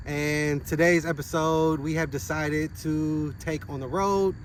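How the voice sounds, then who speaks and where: A man co-hosting an unscripted podcast in a slightly hoarse voice, halting and correcting himself as he goes. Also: a little high, fairly even intonation. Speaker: podcast co-host. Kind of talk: unscripted freestyle podcast chat. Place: outdoor basketball court. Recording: loud outdoor traffic noise. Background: passing vehicles.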